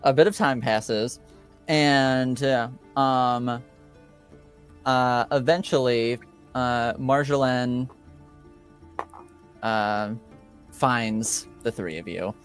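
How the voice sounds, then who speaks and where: A man's voice: mid-range voice, drawn-out words, pauses often, soft background music. A man narrating a tabletop roleplaying game as game master, hesitantly searching for words.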